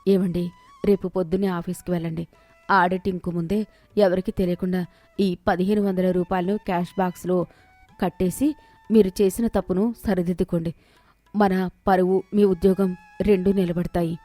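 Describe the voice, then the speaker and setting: A woman voicing a wise, calm, forgiving village wife in an animated moral story. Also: on the low side, normal speed, no echo, faint music behind, close to an everyday voice. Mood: calm, firm.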